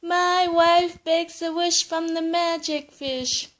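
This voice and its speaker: A woman voicing a meek old grandpa in a bedtime story, in a high sing-song plea. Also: fairly even intonation, slow, a bit bright, full character voice. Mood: pleading.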